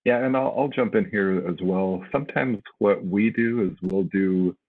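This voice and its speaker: A man's voice: low voice, normal speed, husky. A man on a faculty panel, giving casual, hesitant advice with many fillers over a video call. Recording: tinny phone-like line.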